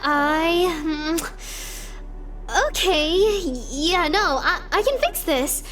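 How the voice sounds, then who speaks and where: Woman as a very high, gushing narrator. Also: drawn-out words, fairly bright tone, heavily acted. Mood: flustered. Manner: syrupy, theatrical praise that falters. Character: gushing, biased, flustered storybook narrator doting on hero. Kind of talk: parody scripted narration. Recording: music behind the voice.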